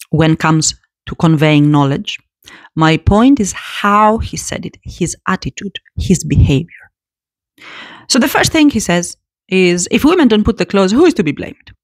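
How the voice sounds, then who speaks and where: A woman giving casual spoken commentary to her audience, animated and prone to repeating words and restarting phrases. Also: lowish voice, a little slow, dry recording.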